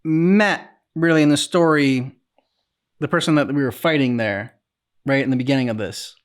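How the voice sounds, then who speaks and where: A man co-hosting a game reaction channel, chatting casually and haltingly as he thinks aloud. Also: mid-range voice, smooth. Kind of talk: casual discussion and commentary.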